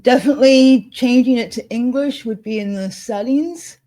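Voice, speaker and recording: A woman co-hosting a casual chat, thinking aloud as she clicks through software at her desk, with frequent laughs. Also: fairly high pitch, normal speed. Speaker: co-host demonstrating software. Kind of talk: casual screen-share chat.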